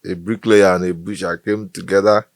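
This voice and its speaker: A young Nigerian man with a very low voice and a Bayelsa-tinged Igbo accent, telling his story as a podcast guest. He jokes freely and drops into pidgin. The sound is dry and close, with no room around it. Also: steady tone, moderate pace, no room sound. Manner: playful, jokey, slips into pidgin. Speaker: comedian guest. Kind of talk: casual podcast interview.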